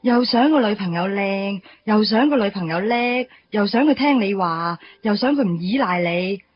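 A woman's voice: medium pitch, animated, normal speed, muffled recording, a little hiss or hum, lightly acted. An actress playing an outspoken, playful young woman in a Cantonese radio drama, chatty and chiding, with a Hong Kong accent. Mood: indignant, chiding.

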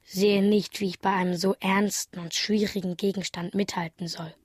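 A woman as the inner voice of a young, naive traveller in a radio drama. It is a husky, mid-pitched voice observing things in the present tense, recorded close with no room sound. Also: fairly even intonation, moderate pace, no echo, close to an everyday voice. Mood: observant, naive.

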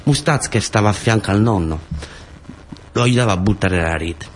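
A man's voice: fairly low pitch, moderate pace, a little hiss or hum. A man with a southern Italian accent telling a first-person theatre story in short phrases with incantatory repetition. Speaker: actor-storyteller.

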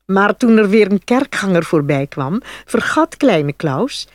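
A woman's voice: medium pitch, big pitch swings, a little slow, no room sound, studio quality. A woman narrating a fairy tale from a script as a storyteller.

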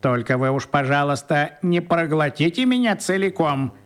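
A man's voice: fairly high pitch, lively intonation, normal speed, lightly acted. An actor voicing a cheeky male talking cat with a mocking, mock-courteous manner in a children's radio play. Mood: mockingly polite. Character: cheeky grey talking tomcat, mockingly polite.

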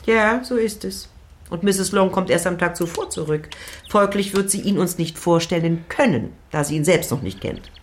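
An actress playing an excitable, nagging middle-aged wife and mother in a period radio drama, dramatic, complaining and insistent. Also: fairly low pitch, moderate pace, a little hiss or hum, close to an everyday voice. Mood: sulky, indignant.

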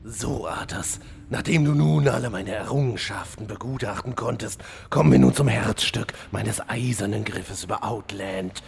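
A man's voice: on the low side, moderate pace, gravelly. A man playing a vain, menacing demon-hunter villain lord, pompous and petulant, in a fantasy parody audio drama. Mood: gloating.

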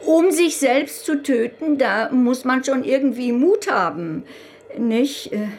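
An actress playing a well-meaning, awkward amateur therapist in a radio play, halting and rambling. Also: female voice, high-pitched, expressive, darkish tone, a little hiss or hum, heavily acted. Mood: awkward, hesitant.

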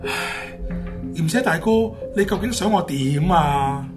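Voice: mid-range voice, animated, a little slow, lightly acted. Actor doing a nervy schoolboy, exclaims a lot, hong kong cantonese radio play, music going behind. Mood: pleading, worried. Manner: exclamatory, pleading. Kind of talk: Cantonese radio drama acting.

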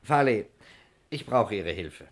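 An actor playing a courteous, shrewd detective who speaks with polite, deliberate probing in a 1960s radio crime drama. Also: male voice, on the low side, expressive. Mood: discreet, purposeful. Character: shrewd, courteous male detective quietly cornering a suspect.

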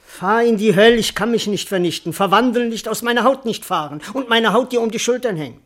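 An actor playing a cowardly, bewildered servant in a classical verse radio comedy, wordy, plaintive and comically indignant. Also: male voice, sits high, a little fast, a bit bright. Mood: indignant, baffled. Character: bewildered, cowardly male servant doubting his own identity.

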